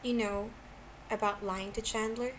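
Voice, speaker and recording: A woman voicing a gracious, curious young man with a gentle, questioning manner in an amateur story reading. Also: medium pitch, fairly even intonation, measured pace, lightly acted. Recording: clearly noisy amateur home recording.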